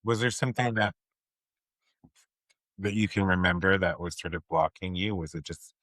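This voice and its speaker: Low-voiced man hosting a casual interview. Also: moderate pace, a bit bright, no echo. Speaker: podcast host.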